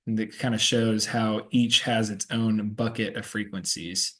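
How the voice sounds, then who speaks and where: A man's voice: fairly low pitch, little pitch movement, measured pace, fairly bright tone, a little hiss or hum. A young man giving a technical student presentation. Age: young adult.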